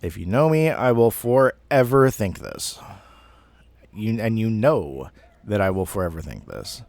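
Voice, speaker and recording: Male, thirties, lower register, dry close sound; casual, halting, sweary storyteller. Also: animated, creaky, darkish tone, dry recording. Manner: rambling, halting, profane. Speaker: amateur storyteller. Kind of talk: casual personal storytelling monologue.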